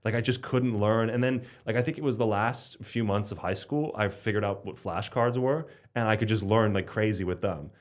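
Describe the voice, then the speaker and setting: A man's voice: lowish voice, normal speed, few pauses. A young man from Slovenia chatting casually as a podcast guest, rambling with lots of fillers and restarts. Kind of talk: casual conversation.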